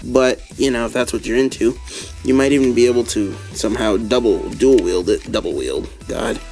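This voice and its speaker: A young man with a raspy voice from a cold, chatting casually as he reviews a toy. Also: medium pitch, moderate pace, a little mellow, soft background music. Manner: casual, chatty, with filler ums and laughs. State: hoarse from a cold.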